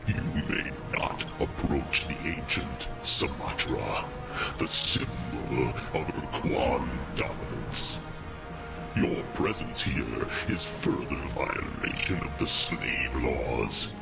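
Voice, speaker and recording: A huge, menacing alien warlord from a video game. The voice is extremely low with heavy fry, slow and swooping in contempt, over loud music. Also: male voice, expressive, full character voice. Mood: stern, imperious. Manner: haughty, threatening. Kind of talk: video game character acting.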